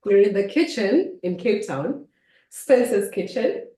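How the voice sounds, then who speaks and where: A woman's voice: mid-range voice, lively intonation, a little slow, dark tone, far from the mic. A woman hosting a casual interview, chatty and teasing, who laughs often. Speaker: host. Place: home kitchen. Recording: roomy, from off-mic.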